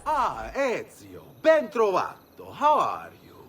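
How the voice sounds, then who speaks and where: An actor playing a courteous household attendant in a video game. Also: male voice, high voice, wildly swooping pitch, slow pace, fairly bright tone, slight background noise, heavily acted. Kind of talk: video game cutscene acting.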